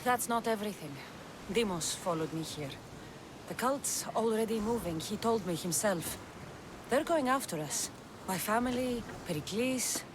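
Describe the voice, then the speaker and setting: A woman's voice: mid-range voice, expressive, moderate pace, noisy background, lightly acted. An actress playing a bold, wry, blunt female mercenary in a video game cutscene. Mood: urgent.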